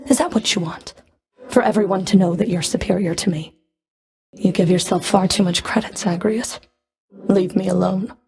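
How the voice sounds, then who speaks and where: A woman playing a cold, proud Fury of the underworld in a video game, dry and cutting, with a creaky edge to her voice. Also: on the low side, a little mellow. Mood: bitter, defensive. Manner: dry, cutting, haughty, controlled. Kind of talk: video game character voice lines.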